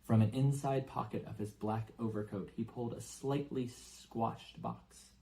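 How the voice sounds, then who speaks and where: A man's voice: mid-range voice, steady tone, measured pace, gravelly, warm tone. A man reading a children's novel aloud as scripted audiobook narration.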